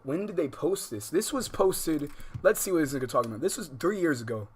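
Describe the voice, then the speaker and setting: A man's voice: on the high side, sing-song, a little fast, a little mellow, a little hiss or hum. A man live-streaming a casual reaction, full of slang, speaking emphatically and incredulously and often repeating himself. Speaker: livestream host reacting to a video.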